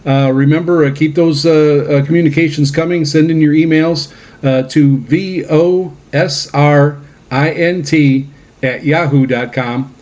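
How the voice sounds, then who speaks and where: A man hosting a radio program in a casual mailbag style, speaking haltingly with very frequent hesitations. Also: mid-range voice, darkish tone. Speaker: radio show host.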